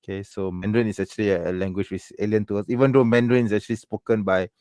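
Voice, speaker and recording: A man's voice: lowish voice, fairly even intonation, very fast, warm tone, clean tone, no room sound, clean studio sound. A man hosting a livestream Q&A, chatting casually and filling gaps with "okay" and "yeah". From Malaysia. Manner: many affirming fillers, frequent "okay". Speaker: livestream host. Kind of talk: casual chat.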